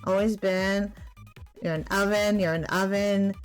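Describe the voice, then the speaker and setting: A woman doing a casual let's-play, reading game text aloud and murmuring to herself in a halting way. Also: medium pitch, steady tone, faint music behind. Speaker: gaming streamer playing a visual novel.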